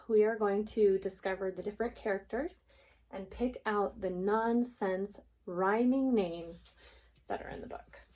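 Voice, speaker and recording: Expressive woman reading a storybook to children. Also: mid-range voice. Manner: expressive, explains things to children. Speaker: preschool teacher reading aloud. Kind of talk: storybook read-aloud for young children.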